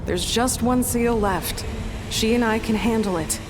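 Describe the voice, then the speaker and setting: A woman's voice: fairly high pitch, a little slow, background noise, close to an everyday voice. Woman playing a composed, practical young heroine. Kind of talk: video game voice acting. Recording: music underneath.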